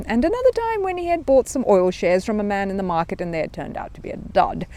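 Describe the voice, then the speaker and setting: Animated woman reading a children's story. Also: medium pitch, slight background noise. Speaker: storybook reader. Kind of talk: scripted children's story narration.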